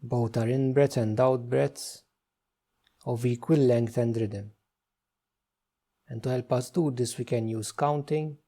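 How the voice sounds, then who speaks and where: A man leading a guided meditation, giving gentle, unhurried instructions with frequent pauses. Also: mid-range voice, fairly even intonation.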